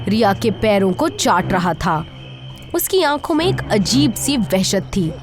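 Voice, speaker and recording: A woman narrator reading a scripted horror story. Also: on the high side.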